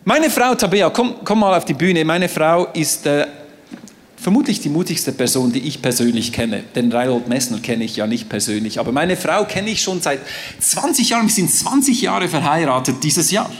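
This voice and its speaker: A man whose first language is French, preaching a sermon on a stage in a playful, animated way. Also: on the high side, normal speed. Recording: reverberant hall.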